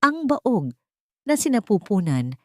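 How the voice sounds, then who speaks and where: A woman's voice: a little high, very animated, takes it slowly, a bit bright, dry recording, clean studio sound. A woman narrating a dramatic story from a script as an audiobook reader.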